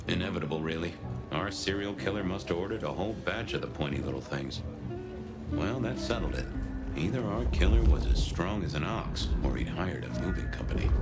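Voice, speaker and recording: An actor playing a sardonic noir detective, narrating a video game in a dry, brooding, world-weary way. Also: male voice, rumbling low.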